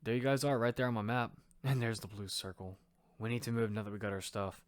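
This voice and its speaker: A man's voice: lowish voice, steady tone, quick pace, no room sound, natural voice. A man playing a determined young fighter who narrates his own survival story in an amateur audio drama. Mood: casual, focused. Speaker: narrator and lead actor. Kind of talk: first-person narration of amateur audio fiction. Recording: clearly noisy amateur recording with clicks.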